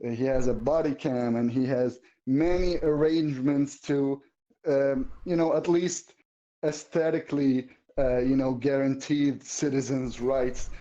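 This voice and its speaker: Halting man, mellow tone. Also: mid-range voice, steady tone, dull audio. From Syria. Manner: halting, searching for words. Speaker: guest viewer. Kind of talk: casual political discussion.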